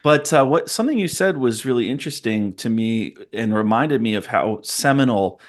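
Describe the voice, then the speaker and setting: A man's voice: medium pitch, animated, normal speed. A man in his forties hosting a podcast, talking in a thoughtful, rambling, storytelling way. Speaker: podcast host. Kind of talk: casual conversation.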